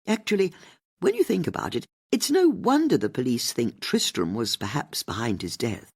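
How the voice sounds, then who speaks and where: A woman plays an older English lady detective in an audiobook mystery. She is polite and chatty with a slightly husky edge and very animated pitch, probing shrewdly while sounding casual. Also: normal speed, heavily acted.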